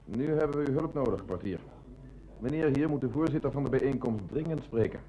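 An actor playing an earnest police detective, assistant to an inspector, in a radio drama. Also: male voice, medium pitch, a little fast, warm tone, close to an everyday voice. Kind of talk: Dutch radio drama acting. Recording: slightly noisy old radio recording.

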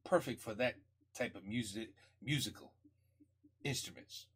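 A man's voice: lowish voice, a little slow, fairly bright tone, breathy edge. A middle-aged man hosting a music reaction video, talking casually and enthusiastically, often repeating himself, on a headset microphone.

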